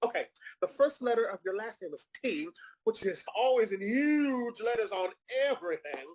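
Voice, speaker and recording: Man playing a husky, swooping, sassy drag queen. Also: high voice, big pitch swings. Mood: playful, gleeful. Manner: campy, sassy, theatrical, drawn-out delivery. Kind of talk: comedic live table read. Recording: dull lo-fi audio.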